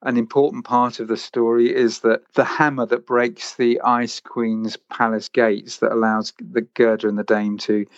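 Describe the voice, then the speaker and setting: A man's voice: mid-range voice, flat delivery, moderate pace, few pauses, no room sound. A man, a theatre creative director, answering interview questions conversationally and explaining a show. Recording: slightly dull, band-limited sound.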